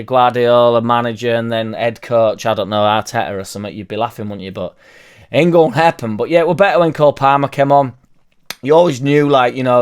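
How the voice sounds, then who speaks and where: Hoarse man ranting. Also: mid-range voice. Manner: loud bursts, swearing, drawn-out shouts. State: hoarse, partly lost voice. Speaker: football fan vlogger. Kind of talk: casual rambling fan vlog.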